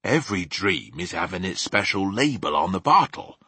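A man voicing a gentle, friendly giant in a children's storybook, confiding in a folksy, ungrammatical way. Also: low voice, big pitch swings, moderate pace, slightly rough, no echo, heavily acted. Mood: pleased, knowing. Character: big friendly old-fashioned giant, male, gentle, mangles grammar. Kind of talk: dramatised children's storybook reading.

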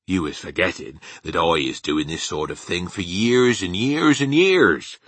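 Bloke doing a big simple kindly giant, storybook style, raspy n drawly, voice swoops about. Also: male voice, sits low, animated, a bit bright, no echo, full character voice. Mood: confident. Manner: mangled homely grammar, slow emphatic drawl. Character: huge kindly male giant, simple, earnest, boastful. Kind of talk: storybook character voice.

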